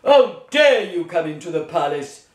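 A woman voicing a dismissive, blustering king in a children's story, gruff and exclamatory. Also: on the low side, sing-song, a little slow. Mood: angry. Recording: echoey room.

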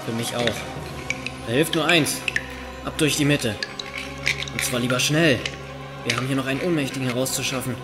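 An earnest young acolyte healer acted by a man in a fantasy audio drama, with a medium-pitched voice. He is a thoughtful companion, heard in long reverb with music clearly behind him. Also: normal speed, long pauses, lightly acted. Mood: urgent.

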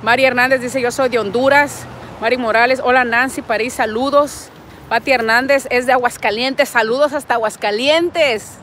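A chatty, affectionate Mexican woman in her forties greets her livestream viewers one by one. Her voice sits a little high, and she talks into an earbud mic while walking outdoors. Faint traffic hums behind her. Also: steady tone, normal speed, a bit bright. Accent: Mexican Spanish. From Nayarit, Mexico. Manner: chatty and affectionate, reading out comments. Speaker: vlogger hosting a livestream. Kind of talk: casual live chat, greeting viewers. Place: outdoors in a parking lot and a street. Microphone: wired earbud mic, phone at arm's length.

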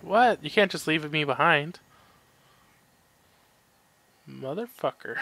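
A man's voice: a little high, lively intonation, normal speed, creaky, pushed and bright, a little hiss or hum. A man giving casual, sarcastic video game commentary, grumbling and swearing freely. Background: game sound effects and ambience.

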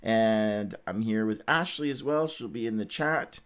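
A man's voice: lowish voice, a little slow, smooth. A middle-aged man hosting a devotional livestream in an informal, conversational, stop-and-start way. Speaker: host of a Bible read-along livestream. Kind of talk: casual devotional livestream talk.

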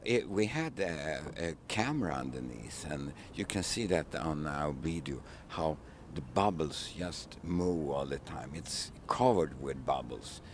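A man, a ship captain speaking halting, word-searching English in an outdoor interview on a waterfront, into a handheld mic. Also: rumbling low, lively intonation, a little hiss or hum. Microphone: handheld mic held by interviewer.

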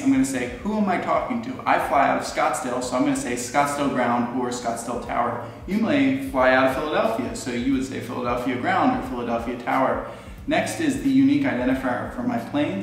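Young american guy doing a tutorial, super echoey and off the mic, bit of noise. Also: medium pitch. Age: 20s. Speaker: student pilot presenting a tutorial. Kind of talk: instructional explanation to camera. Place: indoor living room. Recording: roomy, echoey, distant, faint noise.

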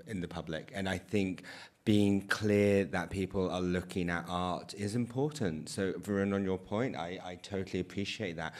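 Deep-voiced man on a stage panel. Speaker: festival director, panelist. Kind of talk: panel discussion. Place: stage in a theatre. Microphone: stand microphone.

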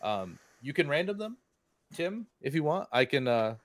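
A man's voice: fairly high pitch, sing-song, normal speed. A man running a tabletop game on a video call, rambling with fillers and laughing often. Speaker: game master. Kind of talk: casual tabletop game chat. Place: home room on video call.

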